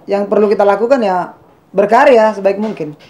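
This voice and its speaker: A man, answering casually as an interviewed YouTuber, plain-spoken and a little halting. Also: sits high, fairly even intonation, highs cut off, noisy background. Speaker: YouTuber and musician being interviewed.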